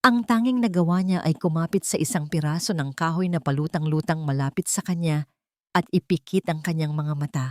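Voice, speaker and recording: Female storyteller, lower register, deliberate dramatic delivery, close dry recording. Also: no echo. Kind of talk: scripted dramatic story narration.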